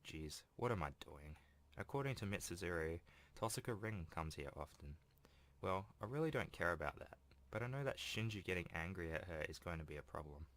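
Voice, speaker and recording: A man's voice: low voice, lively intonation, a little fast, a bit bright. A young man reading a visual novel aloud as a let's play host, stumbling at times and breaking off into casual asides. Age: young adult. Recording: voice over game music. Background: game music.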